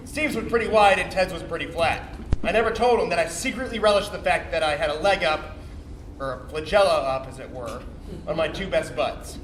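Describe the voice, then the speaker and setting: A man acting a cocky, bitter little sperm cell with a high, bright voice. He talks like a swearing young bro, slangy and dramatic, confiding a stage monologue. The sound is roomy and distant, as from a theatre stage. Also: a little fast, natural voice. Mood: nostalgic, boastful. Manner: foul-mouthed, slangy, defensive, dramatic. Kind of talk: theatrical comic monologue, confessional storytelling to audience. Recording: roomy, distant stage recording with faint noise.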